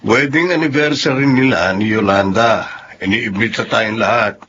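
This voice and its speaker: A man with a Filipino accent playing an earnest, patient husband and son in a radio drama, gently reasoning. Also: fairly low pitch, moderate pace, a little hiss or hum, close to an everyday voice. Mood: easygoing. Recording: dull lo-fi audio, faint music behind.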